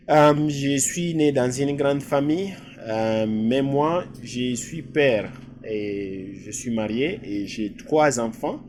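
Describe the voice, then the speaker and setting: A middle-aged man from DR Congo, a physician guest answering interview questions with many hesitations. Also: mid-range voice. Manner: hesitant, many filler sounds.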